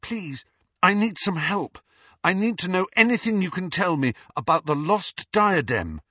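A man's voice: high voice, normal speed, sharp tone, breathy edge, no echo, muffled recording, pitched above the performer's own voice, heavily acted. A man voicing a desperate teenage boy student in an audiobook, urgent, fierce and pleading. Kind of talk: audiobook character voice.

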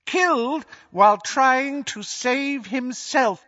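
A man voicing a cruel dark lord with a soft, hissing, silky voice, drawling his words slowly and mockingly. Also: extremely high pitch, dry recording, dull audio, pitched above the performer's own voice, full character voice. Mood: gloating. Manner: slow, drawn-out, mocking.

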